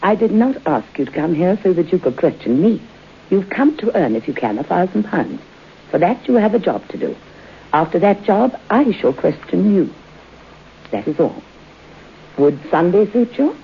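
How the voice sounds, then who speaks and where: Haunted-house matriarch, old and imperious, formal theatrical phrasing, very varied intonation, dull vintage radio recording. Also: female voice, fairly low pitch, sing-song, moderate pace, a little hiss or hum. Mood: stern. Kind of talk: old-time radio horror drama acting.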